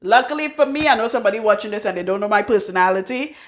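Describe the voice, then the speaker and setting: A middle-aged Trinidadian woman giving a frank, forceful hair-care talk as a loctician in her salon. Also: mid-range voice, lively intonation, very fast. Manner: blunt, forceful, frank, swears freely. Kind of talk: casual hair-care tutorial.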